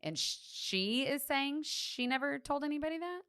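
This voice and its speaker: A woman co-hosting a true-crime podcast, asking lots of questions and joking along in casual chat. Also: high-pitched. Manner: inquisitive, animated, quick to laugh and joke. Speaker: podcast co-host.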